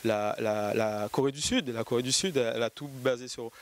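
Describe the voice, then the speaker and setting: Earnest man speaking from the audience. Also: mid-range voice. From Martinique. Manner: earnest, halting with repeated words. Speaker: audience member, coder. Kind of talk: spontaneous comment from the audience.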